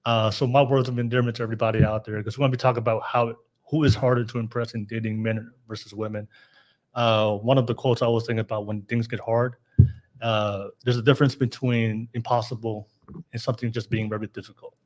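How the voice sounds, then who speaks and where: A man with a Californian accent hosts a live podcast in a casual, upbeat way. He laughs easily, throws in lots of fillers and often restarts what he is saying. His voice sits at an ordinary middle pitch. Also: flat delivery, fast talker, low-quality recording. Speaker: podcast host.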